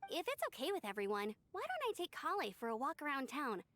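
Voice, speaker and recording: A woman voicing a peppy, outgoing, warm-hearted young female adventurer in a video game, energetic and encouraging. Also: high voice, fast talker, dry recording, heavily acted. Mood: cheerful, breezy.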